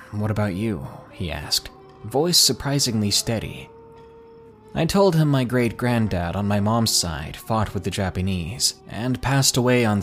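A man narrating true ghost stories as a podcast host, in a measured, suspenseful storytelling manner. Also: lowish voice, fairly bright tone. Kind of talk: scripted horror story narration.

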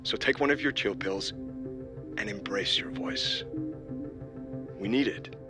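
An actor playing a crude, wisecracking, laid-back producer in an audio drama, joking and blunt. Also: male voice, mid-range voice, lively intonation, measured pace, loud music behind the voice, close to an everyday voice. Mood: wry, teasing. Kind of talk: naturalistic audio drama acting.

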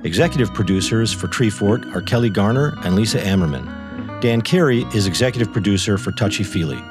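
A man with a fairly low voice reads scripted credits as an announcer. Guitar music plays clearly behind him.

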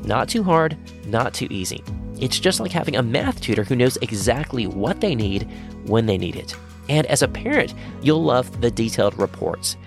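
A man's voice: medium pitch, big pitch swings, normal speed, gravelly. A man reading scripted sponsor spots as a podcast host. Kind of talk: scripted ad narration. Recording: music under the voice. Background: guitar music.

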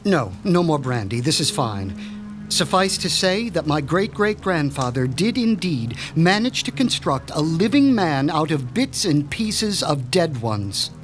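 An actor playing a proud, formal mad-scientist doctor in a radio drama, speaking with precise, pompous diction. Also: male voice, fairly high pitch, big pitch swings, unhurried, faint music behind. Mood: measured. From Switzerland. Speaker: mob doctor.

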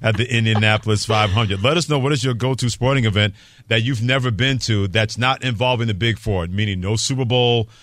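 Low-voiced American man hosting sports radio. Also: brisk, a bit bright, no echo. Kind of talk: sports talk radio, opinionated banter.

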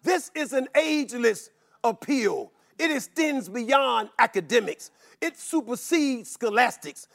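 A man preaching a sermon in a rhythmic chant, running through long repeated lists and rising into shouts. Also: very high voice, expressive, a little slow, gravelly.

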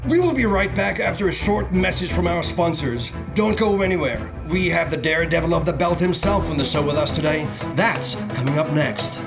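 A man's voice: on the high side, lively intonation, normal speed, vocal fry, few pauses. An actor playing a brash, self-important male talk show host and journalist, theatrical and insistent, in an audio drama. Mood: slick showman. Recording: loud music underneath.

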